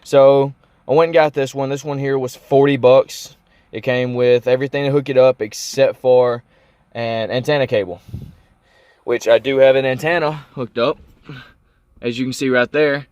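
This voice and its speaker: A man giving a casual, unscripted show-and-tell vlog, informal and thinking out loud as he goes. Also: medium pitch, steady tone, normal speed, clean tone, no echo. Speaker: car vlogger.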